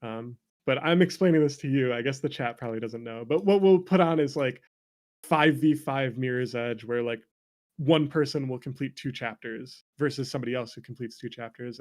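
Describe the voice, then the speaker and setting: A man chatting casually as an interview guest, joking in a playful, mock-sarcastic way. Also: mid-range voice.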